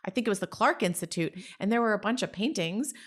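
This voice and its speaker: Gushing, animated woman in her forties. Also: mid-range voice, fast talker. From New Jersey. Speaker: interview guest, story salon founder. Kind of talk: casual conversation.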